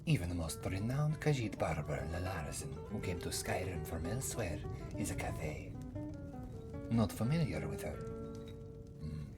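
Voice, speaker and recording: A man voicing a very old, wry, courteous cat-folk former priest in a fantasy roleplay ASMR, softly, often slipping into a whisper, musing about himself in the third person. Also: deep voice, big pitch swings, moderate pace. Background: music.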